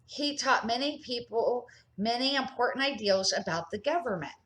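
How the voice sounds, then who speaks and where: A woman's voice: a little high, expressive, moderate pace, fairly bright tone. Woman reading as kindly older neighbor man. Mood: earnest teaching.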